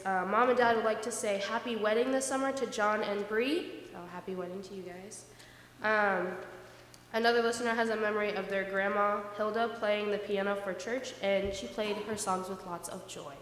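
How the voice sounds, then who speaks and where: A woman's voice: mid-range voice, fairly bright tone. A young woman reading aloud to a congregation as a co-presenter, at a stand microphone in a large echoey church. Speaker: co-presenter reading listener dedications. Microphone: vintage-style stand microphone. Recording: echoey church hall, faint noise.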